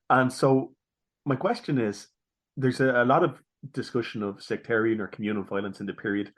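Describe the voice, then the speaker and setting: Lowish-voiced man hosting. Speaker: podcast host, interviewer. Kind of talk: measured interview questioning.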